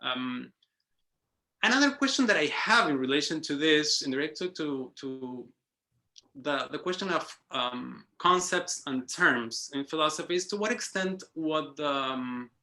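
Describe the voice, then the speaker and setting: A man's voice: fairly high pitch, animated, measured pace, sharp tone. A man speaking in an academic round-table discussion, thinking aloud with frequent restarts and a questioning "no?" tag. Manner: hesitant, many restarts and tag "no?". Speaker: guest speaker. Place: online round-table call.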